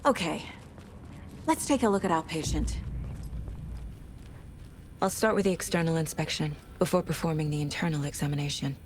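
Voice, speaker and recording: A focused female investigator played by an actress in a cinematic video game. Her voice sits on the low side, measured and matter-of-fact as she reasons through clues. Faint ambient noise runs behind her. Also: lightly acted. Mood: focused, pondering. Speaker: FBI agent character in a game. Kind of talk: cinematic game acting, investigative dialogue and inner monologue.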